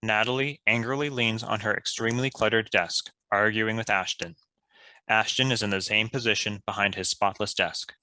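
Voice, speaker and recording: Very bright, lowish-voiced male narrator, dry. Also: steady tone, normal speed, dry recording. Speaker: stage-direction narrator. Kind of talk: scripted narration for a table read.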